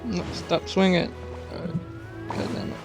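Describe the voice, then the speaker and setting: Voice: mid-range voice, measured pace. A gamer muttering casual, sweary commentary while playing, with game music behind. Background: game music and sounds.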